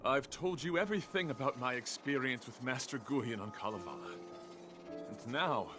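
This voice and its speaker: An actor playing a polite, lofty devotee of beauty in a video game, speaking formally and floridly. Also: male voice, medium pitch, expressive, normal speed, music in the background, natural voice. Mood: courteous, admiring. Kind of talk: scripted game voice acting.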